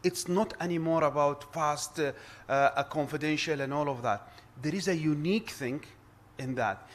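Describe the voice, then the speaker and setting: A man with an Arabic accent addresses a conference round table. His voice sits a little high for a man. He speaks earnestly, often restarting his sentences and repeating words. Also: moderate pace. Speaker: conference speaker, arbitration lawyer.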